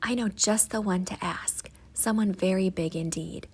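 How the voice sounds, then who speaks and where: Woman as a gentle, breathy storybook mother. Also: mid-range voice, moderate pace, lightly acted. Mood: reassuring. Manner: gentle, reassuring. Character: loving, reassuring mother of a young boy.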